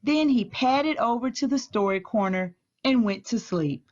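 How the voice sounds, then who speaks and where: Woman storyteller, expressive read-aloud, lively pitch swings, deliberate phrasing, slightly muffled recording. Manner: clear, deliberate, animated.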